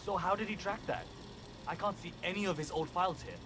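A man's voice: high-pitched, fast, lightly acted. Earnest male laundry worker an actor, noisy surroundings. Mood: fretful. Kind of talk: acted game background dialogue.

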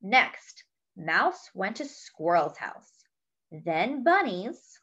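A woman reading a children's picture book aloud, expressive and chatting with the young listener between pages. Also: mid-range voice, very slow, lo-fi sound. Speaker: children's story reader. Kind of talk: read-aloud storytelling.

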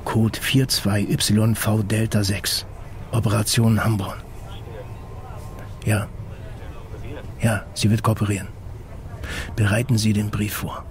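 A man's voice: flat delivery, slow pace, long pauses, slight background noise. A man playing a grave, protective middle-aged guardian in an audio drama, terse and controlled. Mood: businesslike. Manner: terse, controlled, low-key. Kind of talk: naturalistic audio drama acting.

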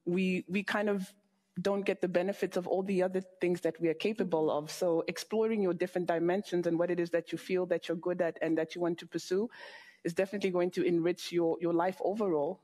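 A woman in her forties from Zambia, a panel guest speaking calmly and reflectively on a studio stage through a headset mic. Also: on the low side, brisk. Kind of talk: panel discussion.

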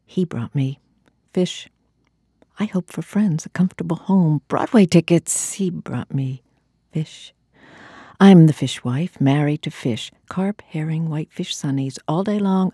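Husky, low-voiced woman reciting poetry. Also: no echo, studio quality. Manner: theatrical, deliberate phrasing with hushed, breathy drops. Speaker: poetry reader. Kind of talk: dramatic spoken-word poetry recital.

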